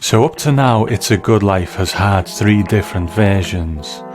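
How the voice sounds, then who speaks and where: A man hosting a show, giving measured, reflective commentary as he reviews a piece. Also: on the low side, expressive. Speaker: podcast host. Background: music.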